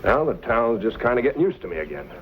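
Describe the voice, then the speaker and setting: An actor playing a resentful, scheming saloon keeper in an old-time western drama, grumbling in a folksy way. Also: male voice, mid-range voice, sing-song, fast talker, narrow, tinny audio, close to an everyday voice. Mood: bitter. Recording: hissy old radio-era recording.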